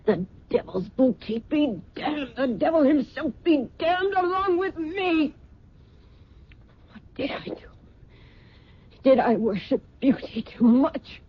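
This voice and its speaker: A dull old radio recording with faint noise carries a proud, middle-aged woman who believes herself cursed. She speaks slowly and theatrically, with bitter sarcasm and anguish in the radio drama manner. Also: sits high, animated. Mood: bitter raging. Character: proud, embittered middle-aged mother, a designer cursed with disfigurement.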